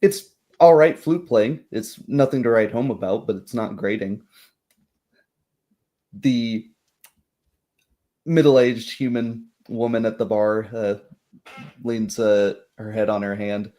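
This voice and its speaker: A man narrating a tabletop roleplaying game as game master, describing things in a halting, improvised way. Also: lowish voice, mellow.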